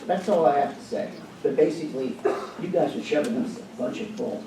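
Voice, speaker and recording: A man's voice: on the high side, brisk. A man speaking as a resident at public comment, angry, blunt and accusatory. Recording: very echoey hall, far from mic, loud noise.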